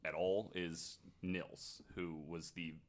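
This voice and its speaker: A man from the United States hosting a podcast, telling stories in a casual, halting, conversational way. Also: deep voice, level tone. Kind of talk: casual storytelling chat.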